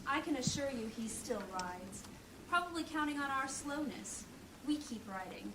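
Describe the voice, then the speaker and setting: Fierce female adventurer actor, high-pitched, challenging, recorded far off in echoey surroundings, fantasy audio drama. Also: expressive, normal speed. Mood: scornful, determined. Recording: echoey, distant, noisy with ambience.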